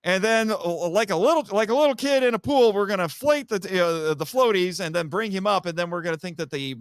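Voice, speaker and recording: High-pitched, clear-voiced man recapping a film. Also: a little fast, no room sound. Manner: dramatic storyteller, acting out characters' lines. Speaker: podcast guest reviewing a film. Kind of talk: animated plot recap, casual chat.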